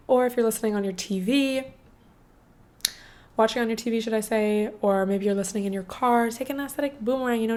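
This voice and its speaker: A young woman in her twenties hosting a casual solo podcast, chatting in a bubbly way with lots of "like" and "um", her voice hoarse and a little congested. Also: on the high side, darkish tone. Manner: chatty and bubbly, many "like" and "um" fillers. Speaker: podcast host.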